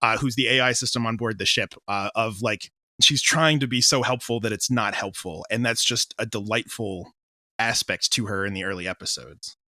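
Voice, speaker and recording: Bright-voiced man in a dry close recording. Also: fairly low pitch, normal speed, dry recording. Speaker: audio drama creator, interview guest. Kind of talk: casual interview chat.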